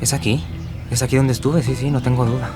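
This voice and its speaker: A man's voice: mid-range voice, level tone, quick pace, music in the background, lightly acted. A man acting an insistent, resentful kidnapping victim giving testimony in an audio drama. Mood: insistent, certain.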